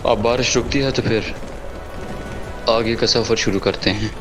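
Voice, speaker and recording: A man's voice: medium pitch, fairly even intonation, a little slow, close to an everyday voice. An actor playing a grave, commanding male leader of a refugee caravan in an audio drama. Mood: solemn. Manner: solemn, commanding, gives orders. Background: music score.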